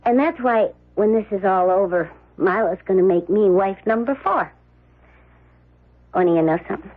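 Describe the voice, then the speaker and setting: Woman acting a husky, flirty airheaded gold-digger. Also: medium pitch, normal speed, natural voice. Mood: breezy, frank. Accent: American. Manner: drawling, coquettish, artless. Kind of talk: old-time radio drama acting. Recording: tinny, narrow vintage radio broadcast.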